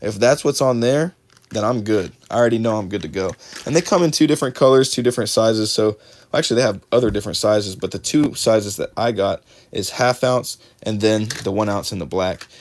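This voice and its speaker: A man's voice: on the low side, brisk, darkish tone. A man hosting a live unboxing, chatting casually with viewers and correcting himself as he goes, his voice a little worn. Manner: chatty, talks to chat viewers by name, self-correcting. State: slightly losing his voice. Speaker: fishing livestream host.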